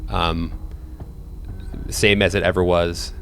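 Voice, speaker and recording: Low-voiced man running a tabletop game. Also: level tone, creaky, fairly bright tone, soft background music. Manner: halting, repeats words while thinking aloud. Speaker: game master. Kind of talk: improvised narration of a tabletop horror game.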